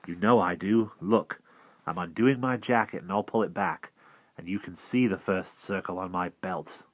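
A man's voice: sits low, moderate pace, no room sound, muffled recording. A man voicing an anxious, earnest young boy in a storybook, with a pleading, honest manner. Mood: firm.

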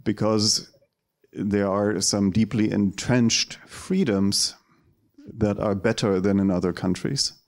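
Middle-aged man from Germany, outspoken, firm, lowish voice, handheld mic. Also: steady tone, takes it slowly, darkish tone. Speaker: event speaker. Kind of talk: personal statement to an audience.

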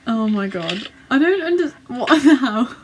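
Gushing young woman, high-pitched casual chat. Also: big pitch swings, normal speed, noisy background. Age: young adult. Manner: gushing, giggly, exclamatory. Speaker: reaction video vlogger. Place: small bedroom, indoors. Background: music from videos being watched.

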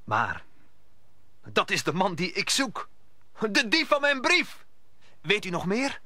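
An actor playing a bold, eager young apprentice musketeer in a radio play, with an insistent manner. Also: male voice, sits high, wildly swooping pitch, takes it slowly, pushed and bright, dry recording, exaggerated voice. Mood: urgent, curious. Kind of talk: Dutch radio play acting.

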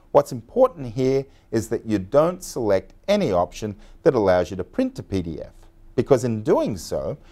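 A man in his forties giving a clear, step-by-step scripted software tutorial in a bright studio. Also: lowish voice. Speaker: software trainer.

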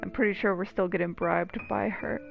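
A woman's voice: medium pitch, steady tone, brisk, vocal fry, dull audio, faint music behind. A woman giving casual gameplay commentary with dry, wry asides.

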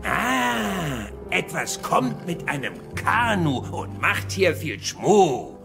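A blustering, comic Viking from a cartoon audio play. He is gruff and gravelly, a little high for a man, and leaps wildly up and down in pitch. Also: big pitch swings, extremely slow, music in the background, heavily acted. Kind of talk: animated audio drama acting.

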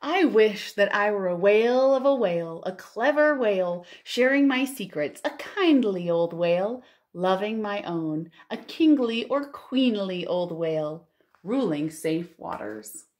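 A woman in her thirties, a children's librarian, reads a picture book aloud and chats to young listeners with expressive wonder and drawn-out emphasis. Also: medium pitch. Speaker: children's librarian hosting storytime. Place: living room at home, indoors.

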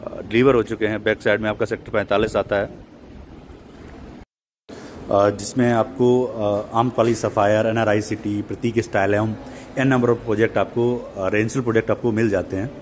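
A man's voice: lowish voice, steady tone, moderate pace, darkish tone, slight background noise. A man giving a walking property sales tour outdoors at a construction site, explaining things with many English terms mixed in. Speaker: real estate agent.

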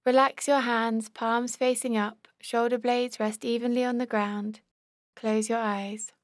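A woman guides a body scan meditation in a calm, measured way. Her voice sits a little high and stays very level, with little rise or fall. She gives short instructions in a close, very dry recording. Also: dry recording.

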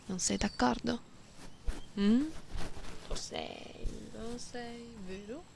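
An affectionate catgirl, played by a woman, coos close to the ear in an ASMR roleplay. Her breathy, often whispered voice is fairly high and creaky, repeating words softly and playfully. The close binaural recording carries clear background noise. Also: very slow, heavily acted. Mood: teasing, cooing. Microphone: close binaural microphone.

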